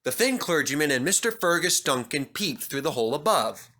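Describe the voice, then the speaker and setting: A man's voice: fairly high pitch, big pitch swings, fairly quick, pushed and bright. A man narrating a scripted children's story as a storybook reader.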